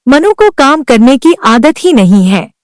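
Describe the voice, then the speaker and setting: A woman's voice: high voice, sing-song, a little slow, dry recording, studio quality. A woman voicing Sunita, a worn-down young village wife who pleads earnestly for help in a cartoon. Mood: pleading. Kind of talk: Hindi cartoon voice acting.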